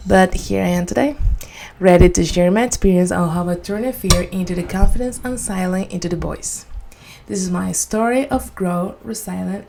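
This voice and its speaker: A woman from Venezuela with a Spanish accent gives a prepared motivational presentation in earnest, deliberate non-native English. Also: lowish voice, vocal fry, warm tone, a little hiss or hum. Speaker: student giving a personal speech.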